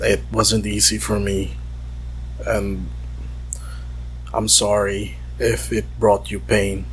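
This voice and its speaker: A man leaves a confessional personal message in a fairly low voice. He speaks very slowly and wistfully, halting often, with faint noise behind him. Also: little pitch movement, rough recording. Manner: halting, wistful, with long pauses. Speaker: voicemail caller.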